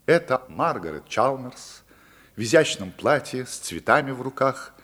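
A male narrator tells a radio drama with swooping, expressive intonation at a middling pitch. He is deliberate and wry, placing dramatic pauses between phrases. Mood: hushed, admiring. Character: male narrator, measured, wry storyteller of high society. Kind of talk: theatrical scripted narration.

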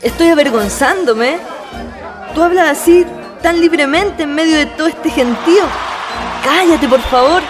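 A woman's voice: high-pitched, unhurried. A woman playing a prim, easily embarrassed young woman who teases and scolds her friend in a radio play. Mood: embarrassed, scolding. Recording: music and crowd noise behind. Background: band music and crowd.

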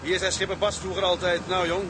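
Reflective tugboat mate male actor, high-pitched, plain-spoken maritime drama, clear engine noise behind. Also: flat delivery, fast talker, natural voice. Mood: wistful. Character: reflective seasoned ship's mate on a tug.

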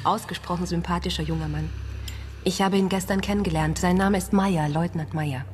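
A woman's voice: on the low side, fairly even intonation, a little fast, darkish tone, a little hiss or hum, natural voice. A woman playing a polite, genteel character in postwar Germany, speaking formally and courteously in a radio drama. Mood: gracious. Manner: courteous, formal small talk.

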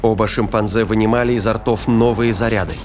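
A man's voice: on the low side, fairly even intonation, brisk, a little mellow. A man narrating a scripted action story as an audio drama narrator. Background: music and sound effects.

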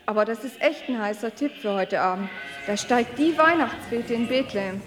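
In a roomy echo, an archangel acted by a woman speaks with a fairly high female voice. She is a world-weary messenger of radio comedy, able to sound grandly solemn or flippantly casual. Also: lively intonation, normal speed, music in the background, natural voice. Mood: flippant, jaded. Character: jaded, chatty female archangel on the job. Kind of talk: comic radio play acting. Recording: echoing radio play recording.

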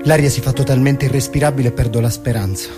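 Despairing young man, played by an actor, medium pitch, poetic theatrical monologue with music clearly underneath. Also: fairly even intonation, fast, natural voice.

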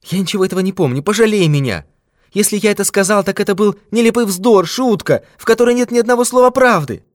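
A man's voice: high voice, fast talker, dry recording, studio quality, voice pushed up, natural voice. A man voicing a terrified old miser who wails and pleads in a strained, raised voice. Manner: wailing, pleading.

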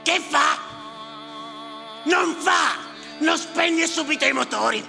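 An actor playing a bitter, cynical clerk who grumbles and declaims to himself in a raspy voice, in a theatrical monologue. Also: male voice, extremely high pitch, animated, takes it slowly, shouting, heavily acted. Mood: outraged, forceful. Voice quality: raspy, gravelly. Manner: muttering to himself, sardonic, declamatory, repeating words obsessively. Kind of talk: theatrical stage monologue with live music. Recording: reverberant hall, music behind voice. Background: music.

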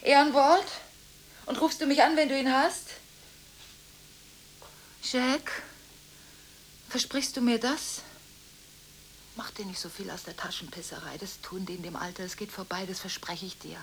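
A woman's voice: steady tone, measured pace, long pauses, a little hiss or hum, poor audio. An actress playing a volatile, contradictory woman arguing through one side of frantic telephone calls in a radio drama. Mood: urgent, bargaining, then hushed, confiding. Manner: abrupt swings between hushed confiding and shrill scolding.

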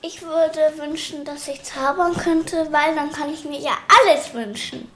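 A woman's voice: high-pitched, fairly even intonation, measured pace, crowd noise. A female speaker giving simple, plainly worded answers.

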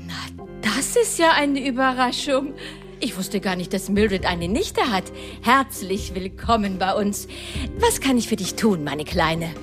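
A woman's voice: medium pitch, animated, a little slow, over music, lightly acted. An actress playing a chatty poison-mixer witch in a radio play, effusive, theatrical and proud of her craft. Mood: welcoming.

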